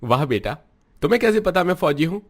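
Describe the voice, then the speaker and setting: An actor voicing a firm, kind-hearted army major in a cartoon story, speaking with calm authority. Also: male voice, fairly high pitch, brisk. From Punjab. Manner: firm, warm-hearted, commanding. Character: kind, upright army major, middle-aged man. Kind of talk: cartoon voice acting.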